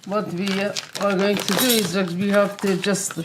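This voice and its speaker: A woman from Iran demonstrating a family recipe in her kitchen, explaining each step with frequent pauses and filler sounds. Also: mid-range voice, level tone, background noise. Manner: unhurried, many filler "uh"s. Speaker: home cook. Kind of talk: cooking demonstration. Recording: echoey room.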